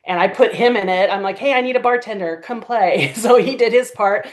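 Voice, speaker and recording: A woman's voice: mid-range voice, brisk. Enthusiastic woman telling behind-the-scenes stories. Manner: enthusiastic, animated storyteller who acts out quotes. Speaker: audio drama creator, director and editor. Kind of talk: casual interview chat.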